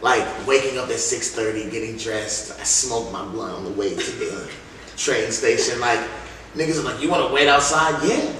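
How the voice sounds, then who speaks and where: A man's voice: mid-range voice, normal speed. A man being interviewed as a music artist, talking casually in slangy, emphatic stories. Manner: animated, emphatic, slangy storytelling. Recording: very echoey room, distant mic, faint noise.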